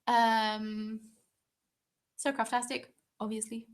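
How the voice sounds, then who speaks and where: A woman's voice: fairly high pitch, little pitch movement, takes it slowly. A young English woman hosting a craft channel's live stream, chatting casually and rambling with frequent ums.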